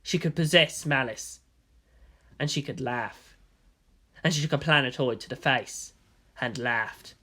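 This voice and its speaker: A narrator reading a dramatic scripted story in a solemn, portentous manner with deliberate phrasing. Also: mid-range voice, pushed and bright. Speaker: fan fiction narrator.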